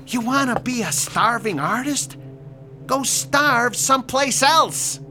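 An actor playing a curt, mocking old landlord in an old-time radio drama. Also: male voice, very high-pitched, expressive, slow pace, loud music behind the voice, full character voice. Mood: scornful. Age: older. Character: gruff old male landlord, dismissive.

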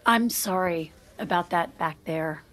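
An actress playing a polite, hesitant finance employee in a screen drama, speaking tentatively and placatingly. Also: female voice, fairly low pitch. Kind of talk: naturalistic screen drama acting.

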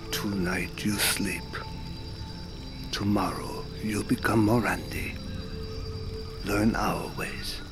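An actor in an audio drama playing a solemn jungle guide and interpreter who speaks in terse, deliberate phrases. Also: male voice, on the low side, fairly even intonation, music in the background. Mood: solemn, knowing.

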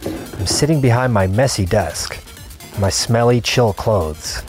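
A man's voice: fairly low pitch, lively intonation, takes it slowly, soft background music. A man playing an earnest, obsessive gamer in an audio drama, confiding his play session in short, broken-up phrases. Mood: settled, eager. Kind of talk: first-person scripted audio drama narration.

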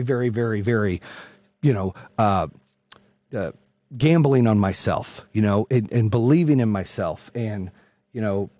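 A man giving a brash, profane, emphatic motivational monologue in a husky voice, repeating phrases for punch. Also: mid-range voice, a little mellow, dry recording. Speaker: motivational speaker and host.

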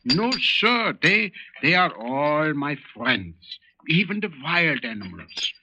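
A man's voice: on the high side, very animated, takes it slowly. An actor playing a simple, rambling farmer who boasts that animals are his friends, in an old radio drama. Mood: folksy. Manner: rambling, folksy, repeats himself. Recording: dull, noisy old radio recording.

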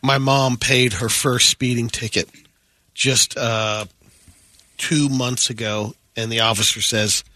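A man's voice: medium pitch, fairly even intonation, takes it slowly, sharp tone, no room sound. A man co-hosting a morning radio show in casual, joking banter.

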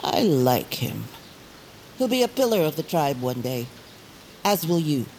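Grave old bear grandmother, deep-toned. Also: sing-song, darkish tone, a little hiss or hum, heavily acted, low-quality recording. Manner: solemn, measured, oracular. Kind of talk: audio drama acting.

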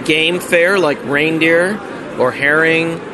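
Relaxed American man, street noise behind. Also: on the high side, slow. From United States. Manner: relaxed, lots of ums and uhs, dry humor. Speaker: lodge marketing representative. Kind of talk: casual interview at an outdoor event. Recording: noisy outdoor street recording.

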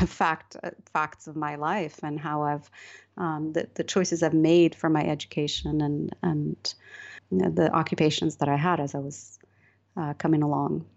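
A woman's voice: low-pitched, a little mellow, highs cut off. A middle-aged woman from Morocco, a novelist being interviewed, speaking thoughtfully with many fillers and restarts. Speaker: novelist guest. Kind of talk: interview conversation.

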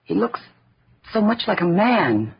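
A woman's voice: mid-range voice, big pitch swings, measured pace, creaky, a little hiss or hum. A woman playing the anxious, fearful daughter of a scientist in an old-time radio drama. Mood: anxious, shaken. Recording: muffled vintage radio recording, faint music.

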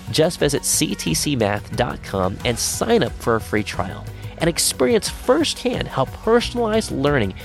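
A man's voice: mid-range voice, creaky. A man reading scripted sponsor spots as a podcast host. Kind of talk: scripted ad narration. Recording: music under the voice. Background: guitar music.

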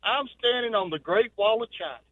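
A man's voice: high voice, animated, brisk, no room sound. A middle-aged man, calling in to a radio show and telling a folksy story. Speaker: caller. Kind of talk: telling a story on a phone call. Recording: tinny phone line.

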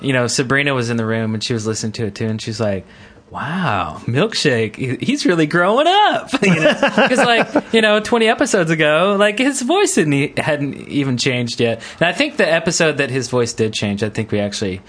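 A man's voice: mid-range voice, very animated, moderate pace, a bit bright. Casual, laughing male podcast co-host. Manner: jokey, laughs readily while talking.

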